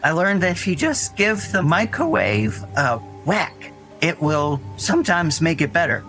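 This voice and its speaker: An actor playing an earnest, anxious young boy who tells his story in a rambling, halting way in an audio drama. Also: on the high side, big pitch swings, a bit bright. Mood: puzzled, earnest. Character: Dougie, frightened earnest young boy recounting his brother's disappearance. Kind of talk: naturalistic audio drama acting. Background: music under the voice.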